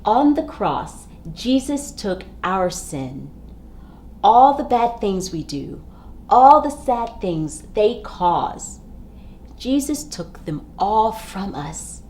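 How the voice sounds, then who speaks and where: Animated woman reading a children's storybook. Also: medium pitch, slow pace. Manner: emphatic, dramatic phrasing in simple sentences for children. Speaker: storybook reader. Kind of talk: expressive children's storybook read-aloud.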